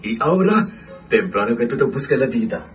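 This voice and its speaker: A man's voice: mid-range voice, brisk, distant mic, soft background music, natural voice. A man playing a kind, lonely middle-aged man who takes in an orphan, in a student radio drama. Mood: jovial. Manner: lively, teasing, mixes Spanish-based words.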